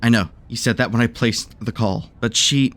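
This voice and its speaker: A man's voice: medium pitch, normal speed, a bit bright, natural voice. A man playing an earnest, polite superhero in a radio play, with a slightly hesitant manner. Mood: exasperated.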